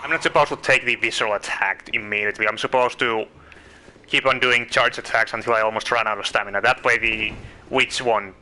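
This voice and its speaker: A man with a Finnish accent giving casual, chatty commentary while streaming a game, thinking out loud and asking his viewers questions. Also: medium pitch, steady tone, brisk, a little hiss or hum, low-quality recording. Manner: chatty, thinks aloud, asks viewers questions, self-correcting. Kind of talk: casual live gameplay commentary.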